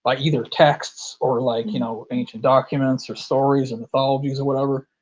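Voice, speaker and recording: A man with a warm, dark voice hosts a loose, friendly interview. He circles back on his words and leans on fillers. The sound is dull and lo-fi. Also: medium pitch, brisk. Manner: relaxed, repeats words, many fillers. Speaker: podcast host. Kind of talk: casual interview chat.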